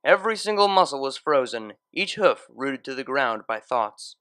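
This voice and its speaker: A man's voice: medium pitch, sing-song, normal speed, fairly bright tone, no echo, clean studio sound. A man narrating a scripted story in a dramatic, literary style. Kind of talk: expressive scripted fan fiction narration.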